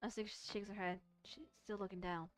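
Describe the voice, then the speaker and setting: A woman's voice: medium pitch, steady tone, a little fast, faint music behind. A woman streamer reading a visual novel aloud expressively, mixing dramatic narration with casual commentary and stumbling over words.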